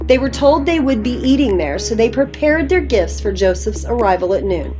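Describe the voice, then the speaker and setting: A woman's voice: a little high, animated, normal speed, a little mellow, lo-fi sound. A woman narrator reading scripture aloud as dramatic scripted storytelling. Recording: music behind the voice.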